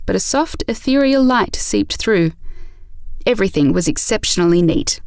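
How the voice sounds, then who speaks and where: A woman's voice: medium pitch, creaky, darkish tone, no echo, clean studio sound. A woman narrator reading a scripted audio drama story.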